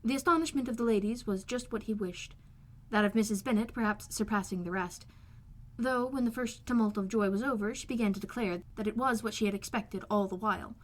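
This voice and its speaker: A woman's voice: medium pitch, a little fast. A woman reading a classic novel aloud as scripted audiobook narration. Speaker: amateur audiobook reader.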